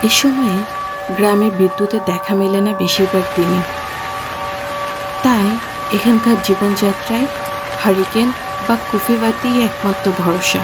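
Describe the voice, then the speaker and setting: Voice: mid-range voice, measured pace, pauses often, rough recording. A narrator reading a scripted horror story. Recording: loud music under the voice. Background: loud background music.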